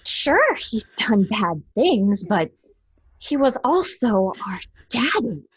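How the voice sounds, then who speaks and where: Husky, bitter grown daughter. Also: female voice, a little high, big pitch swings, dry recording, heavily acted, low-quality recording. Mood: resentful. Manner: halting, intense. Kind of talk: horror audio drama acting. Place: small room at home, on video call.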